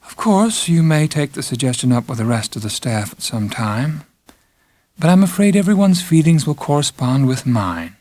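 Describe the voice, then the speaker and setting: A man's voice: fairly high pitch, very animated, normal speed, a little mellow, dry recording. A controlling head nurse performed by a male reader for an audiobook. She speaks with cold pleasantness and the patient condescension of a schoolteacher, recorded very dry. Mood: politely dismissive. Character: formidable middle-aged female head nurse, coldly pleasant, controlling. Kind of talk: audiobook character acting.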